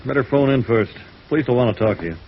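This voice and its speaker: An American man acting a brisk, matter-of-fact hospital intern in a 1950s radio drama. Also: mid-range voice, expressive. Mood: businesslike. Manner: brisk, casual, businesslike. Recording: muffled, noisy old radio recording.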